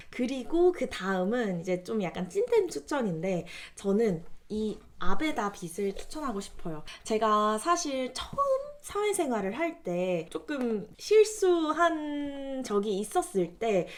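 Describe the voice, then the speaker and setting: A woman's voice: mid-range voice, darkish tone. A young woman chatting politely and casually to viewers as she explains product recommendations. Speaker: vlogger recommending gifts.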